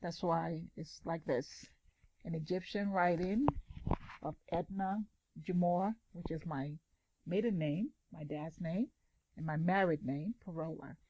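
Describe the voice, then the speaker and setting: A woman guest on a casual interview show, explaining things enthusiastically with pauses. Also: lowish voice, mellow, lo-fi sound. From Ghana, father's side.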